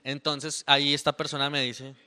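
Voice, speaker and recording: Animated young man, rambling. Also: on the high side, moderate pace, clean tone. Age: young adult. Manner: rambling, animated, with fillers and restarts. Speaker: author presenting his poetry book. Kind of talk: casual talk at a book presentation.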